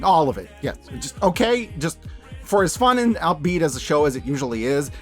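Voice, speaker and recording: A man giving scripted review commentary as a host, with an emphatic, playful, colloquial delivery. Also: a little high. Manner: emphatic, playful, colloquial, with dramatic repeats for effect. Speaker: host of a yearly media review show.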